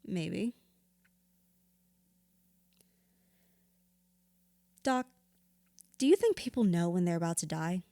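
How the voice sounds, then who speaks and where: Low-voiced grieving widow. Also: female voice, animated, moderate pace, dark tone, no echo, clean studio sound. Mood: pensive. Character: wounded, candid grieving widow in therapy. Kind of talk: audio drama acting, conversational.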